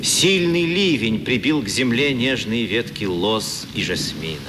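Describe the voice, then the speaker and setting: A man's voice: medium pitch, animated, a little slow, noisy background, close to an everyday voice. A man narrating a literary story for a 1970s radio play. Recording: vintage radio recording, loud storm effects behind.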